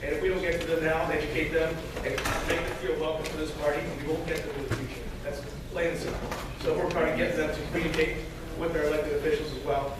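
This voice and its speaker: A man's voice: a little high, flat delivery. A man losing his voice, giving a short campaign speech with many fillers. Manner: earnest, halting with fillers. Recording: echoey hall from far off, noisy.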